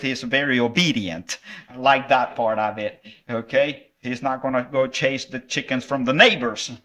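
A man's voice: fairly high pitch, fairly bright tone. A middle-aged man from El Salvador preaching at a revival meeting and giving a children's lesson, friendly and conversational with fillers.

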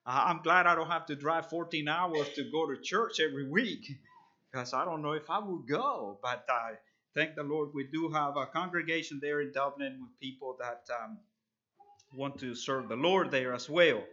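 A man preaches a revival sermon, earnest and halting, often pausing on fillers. His voice sits a little high and sounds bright and forceful. The recording is dull and lo-fi. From El Salvador. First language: Spanish. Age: 30s. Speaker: visiting preacher.

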